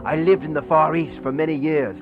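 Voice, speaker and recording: A man's voice: on the high side, steady tone, fairly quick, natural voice. An actor playing a protective, sceptical male villager in a radio horror play, speaking in formal, stagey phrases. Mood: wary, defiant. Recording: muffled lo-fi radio play, music underneath. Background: music.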